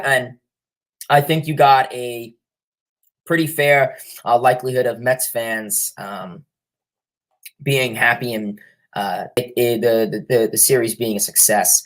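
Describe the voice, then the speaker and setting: A man's voice: mid-range voice, measured pace, fairly bright tone, low-quality recording. A young man hosting a sports livestream with a cold, sniffling as he reads viewer comments and rambles with lots of fillers and restarts. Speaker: livestream host. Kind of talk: casual sports chat reading viewer comments.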